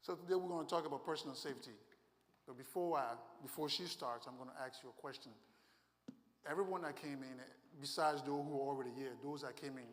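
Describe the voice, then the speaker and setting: A man's voice: medium pitch, fast. A man from Liberia, a sheriff's deputy, giving a conversational safety training talk with fillers. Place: church hall. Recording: long hall reverb.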